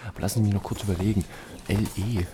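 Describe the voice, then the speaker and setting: Actor as low-voiced, pedantic owl scholar. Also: male voice, steady tone, very fast, echoey room, close to an everyday voice. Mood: absorbed, pedantic. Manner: muttering, hesitant, pedantic recitation. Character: eccentric, scholarly male owl expert and investigator, absorbed in detail. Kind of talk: German audio drama acting.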